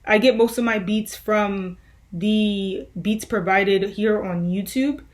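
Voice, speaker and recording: A woman's voice: mid-range voice, slow. A young woman musician answering viewer questions in a loose, rambling way, with many fillers and restarts. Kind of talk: casual Q&A vlog.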